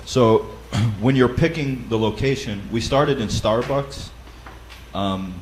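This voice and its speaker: A man with a loud voice who leads a Bible study, explaining practical advice in a conversational way with frequent fillers and restarts. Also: medium pitch, slow, slight background noise, rough recording. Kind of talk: instructional talk.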